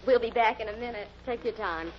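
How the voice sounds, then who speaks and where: A woman's voice: on the high side, fairly quick, natural voice. An actress playing a caring, inquisitive woman with a polite, composed manner in 1940s radio mystery style. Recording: dull, noisy old-time radio recording.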